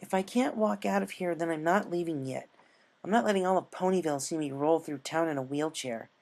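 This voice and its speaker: Man voicing a stubborn, higher-pitched young pegasus girl. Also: fairly quick, vocal fry, a little mellow. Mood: defiant. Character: stubborn, proud young female pegasus, hurt but defiant.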